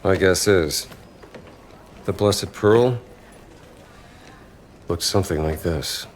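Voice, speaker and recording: An actor with an American accent playing a gruff, wry 1930s adventurer-archaeologist in a game cutscene. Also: male voice, low voice, slow pace, a little hiss or hum. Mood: measured storytelling. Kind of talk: cinematic game cutscene acting.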